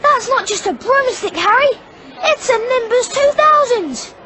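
Schoolboy wizard, video game, piping high, animated, chummy, excitable. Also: unhurried, some chatter behind, exaggerated voice. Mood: excited. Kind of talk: scripted video game acting.

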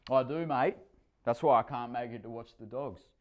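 A man voicing a boastful, cheerful middle-aged surfer stepfather, pitched higher and louder than his own voice. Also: moderate pace, lo-fi sound, close to an everyday voice. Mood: proud.

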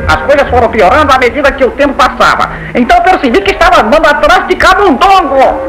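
A man's voice: very high-pitched, expressive, fast talker, rough recording. A man voicing an anxious, stammering male cartoon duck in a Brazilian dub, confessing and pleading like a nervous patient. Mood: anxious recounting. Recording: music behind the voice.

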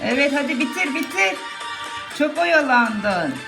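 A woman's voice: high-pitched, animated, measured pace, creaky. A mother chatting casually with her child, encouraging and instructing while narrating an activity for a video. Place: indoors at home. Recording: echoey room, music playing. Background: music and a young child talking.

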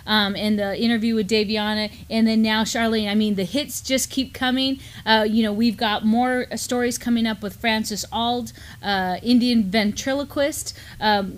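A middle-aged woman co-hosting a casual news live stream, speaking conversationally in short, loosely joined phrases. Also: on the high side. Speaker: morning show co-host. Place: indoor studio desk in front of a backdrop.

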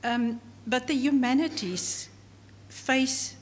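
A middle-aged woman whose first language is Afrikaans, giving a formal academic lecture from a prepared text at a podium. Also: high-pitched. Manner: measured, read from a prepared text at a lectern. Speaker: professor giving inaugural lecture. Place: large hall, at a podium. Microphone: podium microphone. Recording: long hall reverb, faint room noise.

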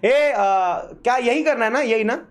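A young man, reacting loudly and theatrically as he live-streams a game. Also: very high-pitched, moderate pace. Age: young adult. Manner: loud, theatrical, excitable. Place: indoor streaming setup in a gaming chair.

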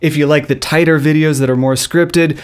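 A man in his thirties hosting a loose tech tutorial from a small studio, speaking close into a boom-arm microphone with playful, goofy asides. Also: fairly even intonation, normal speed, clear, resonant tone. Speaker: video tutorial host. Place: small studio with a blue backdrop. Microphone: studio mic on a boom arm, close.

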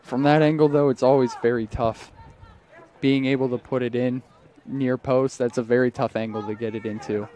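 A young man doing live play-by-play for a night soccer game outdoors, his voice strained, getting louder on attacks and correcting himself often. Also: medium pitch, fairly even intonation, normal speed. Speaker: play-by-play commentator. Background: crowd noise.

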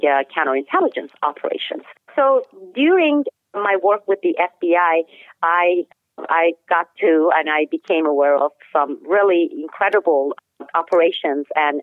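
A woman whose first language is Turkish speaks over a thin, phone-like line with no room sound at all. Her voice sits slightly low, and she works through a long account carefully, restarting a phrase now and then. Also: no room sound. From Turkey. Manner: steady, detailed explanation with occasional restarts. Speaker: guest, author. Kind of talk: interview answers.

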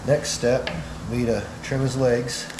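A relaxed man in his thirties walks through a hands-on fishing how-to, unhurried and full of filler words. His voice rings off the hard walls of a small room, with a steady hum running underneath. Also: medium pitch. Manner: easygoing, many "uh" fillers, step-by-step. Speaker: fishing channel host. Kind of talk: casual how-to demonstration. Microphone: wide camera several feet away. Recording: roomy echo, clear background hum.

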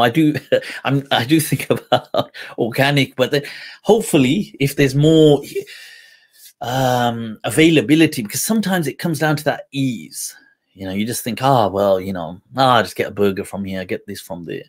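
A man in his thirties talks through a livestream question in a loose, animated way, repeating words and laughing as he goes. His voice sits at an ordinary middle pitch. He speaks into a desk mic at a small indoor studio set. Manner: animated, gesturing a lot, with frequent laughs and repetitions. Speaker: Islamic scholar answering questions on a livestream. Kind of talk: casual livestream talk.

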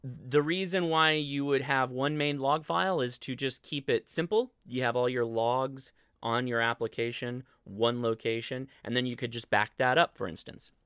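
American male host, forties, for an informal explainer stream; clean, smooth voice, dry close sound. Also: medium pitch, normal speed, hardly any pauses, fairly bright tone, no room sound. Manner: informal, conversational, thinking aloud. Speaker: host of a ham radio show. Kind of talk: casual livestream explainer. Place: indoor home studio.

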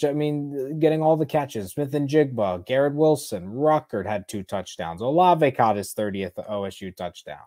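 A young man in his twenties reacting live to a football game from a small bedroom, talking into an earbud mic, excitable and rambling with sarcastic asides. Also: medium pitch. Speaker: sports reaction streamer. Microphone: earbud headset mic on a webcam stream.

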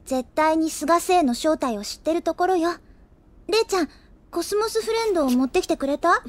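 Very high-pitched, headstrong schoolgirl. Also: animated, a little fast, no room sound. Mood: determined. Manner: assertive, pouty, girlish. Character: headstrong, impetuous high school girl, quick to pout. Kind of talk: anime audio drama acting.

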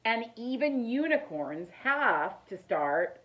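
A middle-aged woman reads a children's picture book aloud in an expressive, deliberate storytelling manner. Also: fairly high pitch, lo-fi sound, slight background noise. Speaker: teacher reading aloud. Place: living room, indoors.

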